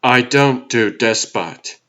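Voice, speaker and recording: Guy doing a wary superhero for a comic dub, talks slow n firm, dry, not impressed. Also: mid-range voice, animated, fairly bright tone, highs cut off. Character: defiant, wary adult superhero, plainspoken and stern.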